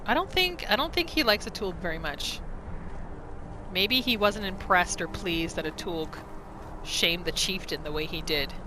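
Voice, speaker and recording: A woman's voice: fairly low pitch, lively intonation, normal speed, noisy background. A woman hosting a gaming video, giving casual, chatty commentary as she muses through a roleplay playthrough. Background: game music.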